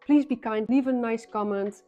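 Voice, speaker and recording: A woman's voice: on the high side, moderate pace, mellow, lo-fi sound. A young Dutch woman with an accent, speaking English as a second language, telling a personal story in an earnest vlog with frequent restarts. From Netherlands. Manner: earnest, restarts phrases often. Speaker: vlogger.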